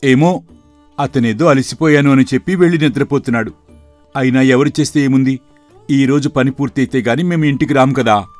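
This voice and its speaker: A man acting a gentle, humble farmhand. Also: mid-range voice, brisk, faint music behind, lightly acted. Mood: mild, earnest. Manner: mild, deferential. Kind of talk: animated moral story acting.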